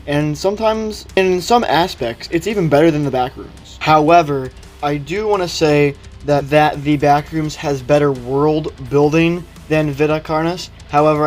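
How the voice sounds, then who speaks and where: A man's voice: on the high side, darkish tone. A man hosting a video, giving opinionated, conversational commentary in a casual explainer style. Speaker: video host. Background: background music.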